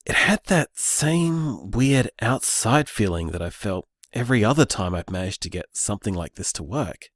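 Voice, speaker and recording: Actor doing earnest young guy figuring out magic, lowish voice, swings up and down, dry sound. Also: moderate pace, few pauses, dry recording. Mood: musing. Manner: thoughtful, musing, lively delivery. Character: earnest young man discovering luck magic. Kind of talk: audio drama, voice-message monologue.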